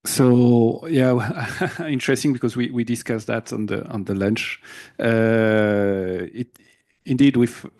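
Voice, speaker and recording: A man speaking as a panelist at an industry forum, slow and hesitant as he thinks aloud. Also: medium pitch, a little mellow.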